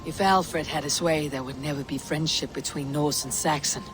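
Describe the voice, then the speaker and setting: An actor voicing a Viking leader with a calm, earnest manner. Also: male voice, fairly high pitch, moderate pace, close to an everyday voice. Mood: steady. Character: steady male Viking leader. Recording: game cutscene with loud rain noise.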